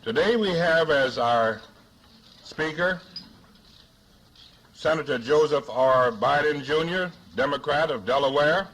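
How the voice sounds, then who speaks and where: A man hosting a forum, introducing a speaker in a formal but jocular way with deliberate, halting phrasing, on an archival 1970s recording. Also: fairly high pitch, a bit bright, slight background noise, low-quality recording. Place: live luncheon forum before an audience.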